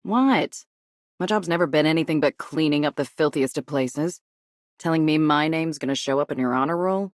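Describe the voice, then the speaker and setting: A woman's voice: deep voice, moderate pace, no room sound, lightly acted. A woman voicing a grumpy, dismissive, sarcastic cleanup worker in a video game. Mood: grumpy, incredulous. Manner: grumbling, dismissive. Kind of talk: video game character voice lines.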